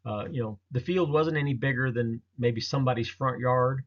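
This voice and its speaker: A man talking casually through a slideshow of his own experiences, in a halting, rambling, folksy way. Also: medium pitch. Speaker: presenter recounting a field experience. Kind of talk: casual narrated slideshow storytelling. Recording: dull lo-fi audio.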